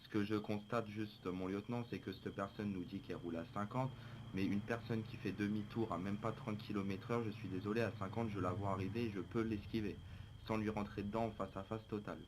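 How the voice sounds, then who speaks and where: Deep-voiced, insistent policeman, played by a man. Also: fairly even intonation, fairly quick, natural voice. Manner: argumentative, explanatory, insistent. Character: insistent, self-assured male policeman defending his judgement. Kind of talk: improvised online roleplay in a video game. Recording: clearly noisy game voice chat.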